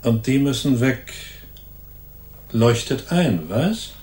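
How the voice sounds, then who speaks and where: A man's voice: mid-range voice, animated, slow, a little mellow. An actor playing a cynical, hardened ex-convict gunman in a radio crime drama, talking in sneering, slangy tough-guy phrases. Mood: menacing, resigned. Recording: roomy studio echo.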